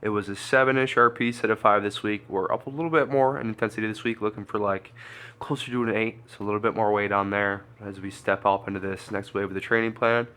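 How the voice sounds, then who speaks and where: Stuffy-nosed man with a bright voice. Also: fairly low pitch, steady tone, fast, clean tone. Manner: chatty, loose, thinks out loud with fillers. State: congested, stuffy nose. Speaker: strength coach and lifter. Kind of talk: casual training vlog.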